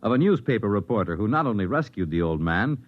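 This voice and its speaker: A man's voice: on the low side, very animated, fast talker. An American man announcing in a formal, dramatic 1950s network radio style, reading from a script. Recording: dull vintage radio broadcast, faint music.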